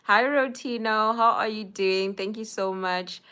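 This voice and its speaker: A woman's voice: mid-range voice, unhurried, pushed and bright, smooth. A young woman from Zimbabwe hosting a casual livestream from home on her phone, greeting viewers by name and chatting with frequent laughs. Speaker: livestream host. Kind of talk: casual live chat reading viewer comments. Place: indoors at home.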